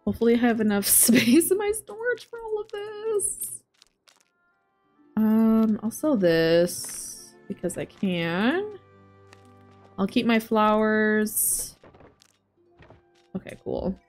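A young woman talks her way through a relaxed game stream in an easy, drawn-out manner, her voice slightly raspy. She speaks into a headset at home with her thoughts running aloud. Soft music plays faintly underneath. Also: fairly high pitch. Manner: chatty, thinking aloud, soft asides to chat. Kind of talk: casual gameplay commentary.

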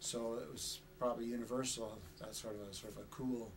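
Older man, rambling oral history, hesitant fillers, chuckles, mid pitch, faint room hiss. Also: level tone, a little fast. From Canada. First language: Chinese. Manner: rambling, hesitant with many uh's, chuckles often. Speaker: interviewee. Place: indoor studio with flag backdrop.

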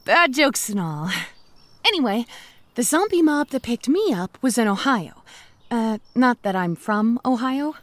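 An actress playing a cheerful, self-aware young zombie, chatting wryly and playfully to the listener in a comedic audio drama monologue. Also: female voice, fairly high pitch, very animated, a little slow, no echo. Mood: wry storytelling. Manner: wry, playful, conversational asides to the listener.

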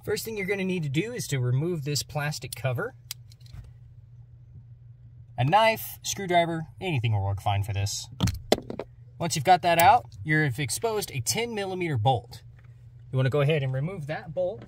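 A man's voice: fairly high pitch, lively intonation, normal speed, pauses often. A young American man giving instructional how-to narration as host of a DIY car channel. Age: young adult. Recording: clear background noise, clicks and jangling.

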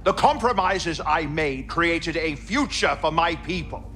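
An actor playing a cynical captive politician in a video game, speaking in a condescending, oratorical way. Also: male voice, on the high side, lively intonation, measured pace, a bit bright, slight background noise. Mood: defiant, bitter. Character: captive politician, cynical smooth-talking collaborator. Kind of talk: dramatic game cutscene acting.

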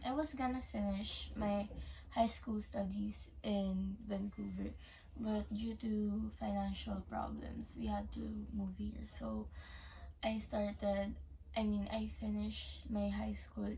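Young woman from Canada, hesitant interviewee, mellow voice, slow pace, distant mic. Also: mid-range voice, gravelly, a little hiss or hum. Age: young adult. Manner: hesitant, many fillers and restarts, mixes English with Tagalog. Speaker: interviewee, working student. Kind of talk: casual interview answers.

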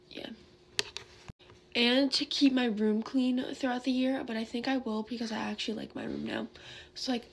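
A young woman in her late teens chats through her makeup routine at a bedroom vanity, rambling and leaning on "like" at every turn. Her slightly high voice is gravelly with vocal fry and worn, as if she is losing it. A phone close in front picks her up with a clear hiss of noise behind. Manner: rambling, heavy use of "like", chatty. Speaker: beauty vlogger. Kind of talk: casual get-ready-with-me chat. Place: bedroom at a vanity desk, indoors. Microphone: phone camera close in front.

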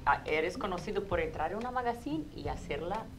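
A woman's voice: on the low side, expressive, brisk, over music. A woman co-hosting a TV studio interview, effusive and enthusiastic as she praises her guest. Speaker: talk show co-host. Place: TV news studio set.

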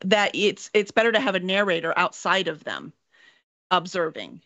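A woman's voice: on the low side, big pitch swings, moderate pace, a bit bright, dry recording. A woman in her thirties or forties hosting a casual interview over a video call. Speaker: interview host. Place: home room indoors, video call. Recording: dry, slightly dull video-call audio.

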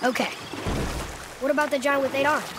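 A man's voice: extremely high pitch, fairly even intonation, brisk, noisy background. A male actor playing a curious, eager young boy in a video game, earnest and inquisitive.

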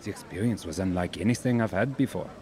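Actor as a mellow, earnest young Frenchman. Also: deep voice, fast, lightly acted. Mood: weary, searching. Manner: thoughtful, sprinkles French words. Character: earnest, searching young French musician, soft-hearted and thoughtful. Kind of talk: audio drama acting.

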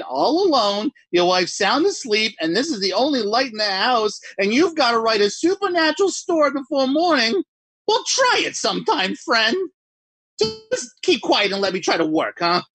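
A man's voice: high voice, fairly bright tone, slightly rough, dry recording, full character voice, poor audio. A man playing a jumpy writer alone at night, rambling and mocking himself in 1940s radio acting style. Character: jittery lone male writer talking to himself.

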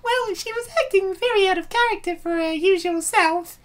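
A woman's voice: very high-pitched, moderate pace. A woman voicing a flustered, bashful young adult elf woman in a comic dub, speaking hesitantly. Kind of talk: comic dub character acting.

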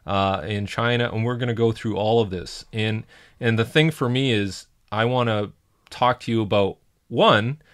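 A man's voice: on the low side, moderate pace, clean tone, no room sound. A middle-aged man hosting an interview podcast in a small studio, talking eagerly and rambling with many restarts. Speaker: podcast host. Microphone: desk mic on boom arm.

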